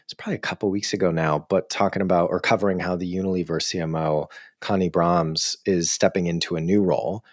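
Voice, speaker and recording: Guy hosting a podcast, really deep voice, dry sound, chatty and thinking out loud. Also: steady tone, fast, no room sound. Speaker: podcast host. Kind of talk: conversational interviewing.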